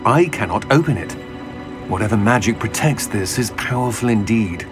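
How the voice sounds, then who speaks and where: A man playing an earnest middle-aged wizard official in a video game, speaking in a formal, gentlemanly way. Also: on the low side, lively intonation, moderate pace, lightly acted. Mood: grave, earnest. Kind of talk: cinematic game dialogue acting. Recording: music under the dialogue.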